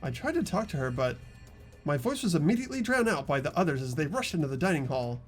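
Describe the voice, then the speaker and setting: A man's voice: fairly high pitch, big pitch swings, quick pace, breathy edge, soft background music. A man reading expressively as amateur narrator and protagonist of a video game, mixed with casual chat.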